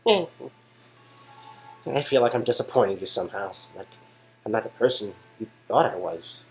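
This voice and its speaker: Man reading an unsure young ex-jock, earnest and hesitant, lively lift in the voice. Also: on the low side, normal speed, soft background music, lightly acted. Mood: insecure. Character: gentle, insecure young man, a former quarterback. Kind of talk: reading game dialogue aloud.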